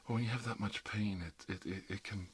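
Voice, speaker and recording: A man playing a calm, reassuring dentist in an ASMR roleplay, speaking softly and often slipping into a whisper as he soothes a patient. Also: lowish voice, slight background noise, natural voice. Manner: soothing, unhurried, reassuring, talking a patient through each step. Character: calm, reassuring male emergency dentist treating a supernatural patient. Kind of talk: ASMR roleplay, soft-spoken with frequent whispering.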